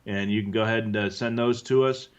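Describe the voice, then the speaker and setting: A man co-hosting a live stream, explaining things in a conversational, halting way as he answers viewers. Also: lowish voice, fairly even intonation, smooth, dull audio. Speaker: co-host answering viewer questions.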